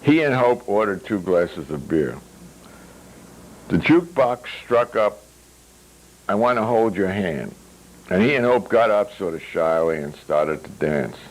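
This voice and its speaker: A man reading a novel aloud as its author, with wry, deliberate phrasing and hesitant asides. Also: low-pitched, lively intonation, low-quality recording. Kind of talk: literary reading with spoken asides.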